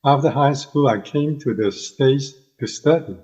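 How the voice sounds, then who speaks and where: An older man with a Hong Kong accent speaks as a podcast guest in a husky, mid-pitched voice. He gives a personal testimony, and the audio is somewhat dull and lo-fi. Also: unhurried, darkish tone.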